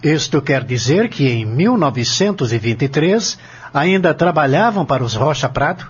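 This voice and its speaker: A formal male lawyer played by an actor examines a witness in an audio novel. His voice is raspy, a bit high and quite animated, polite yet insistent. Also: moderate pace. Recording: dull lo-fi audio with music bed. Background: music.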